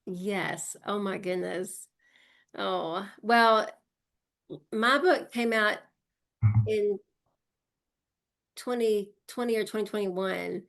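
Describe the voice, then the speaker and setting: A woman hosting an interview, encouraging and quick to laugh. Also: mid-range voice, creaky, fairly bright tone. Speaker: host. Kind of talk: casual interview chat.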